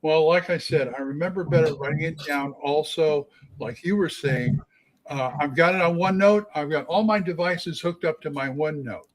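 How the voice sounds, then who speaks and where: Halting man in his sixties. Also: on the high side, low-quality recording. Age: 60s. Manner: halting, repeats his words. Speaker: game master, co-host. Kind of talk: casual chat.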